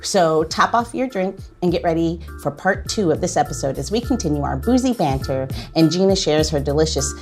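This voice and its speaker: Expressive woman podcast host with lively pitch. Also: a little fast, hardly any pauses, soft background music. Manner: playful, expressive. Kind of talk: lively podcast banter and show announcements.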